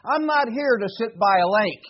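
Male preacher, 60s, high-set voice, earnest and emphatic sermon delivery, slightly dull recording. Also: animated, moderate pace. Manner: earnest, emphatic with repeated phrases.

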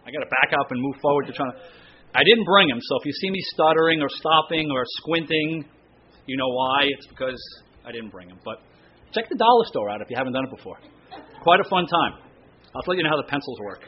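Middle-aged male pastor with a loud, slightly high voice, chatty and self-deprecating at the pulpit mic; slightly dull recording with faint room noise. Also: animated. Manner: chatty, self-deprecating storyteller, slows down for scripture reading. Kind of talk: sermon with casual anecdotes. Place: church sanctuary. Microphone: stand microphone, stays close.

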